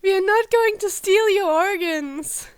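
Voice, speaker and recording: A woman's voice: extremely high pitch, a little slow, no echo, voice pushed up. A woman voicing a bewildered, apologetic young man in a fan fiction reading, speaking emphatically. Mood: confused shouting. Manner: confused, emphatic.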